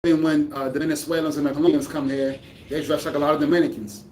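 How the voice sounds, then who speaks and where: A man's voice: fairly high pitch, steady tone, quick pace, a little mellow, a little hiss or hum. A man vlogging in a casual, rambling way, often restarting sentences as he thinks aloud. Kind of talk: casual talk to camera. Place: living in the Dominican Republic. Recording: echoey room from a distance, faint ambience.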